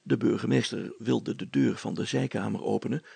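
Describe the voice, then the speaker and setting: Guy reading a story out, gravelly husky voice. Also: on the low side, steady tone, normal speed, warm tone, dry recording. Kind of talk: scripted story narration.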